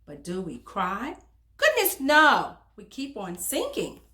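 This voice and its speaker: A woman reads a children's storybook aloud as host, in an expressive, emphatic manner that speaks directly to young listeners. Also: medium pitch, slow pace. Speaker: children's book reader and host.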